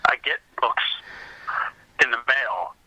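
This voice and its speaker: A man's voice: medium pitch, takes it slowly, sharp tone, slightly rough, dry recording, rough recording. A man speaking as a guest in a casual interview, rambling conversationally and backtracking mid-thought. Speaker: podcast guest, comics researcher and collector.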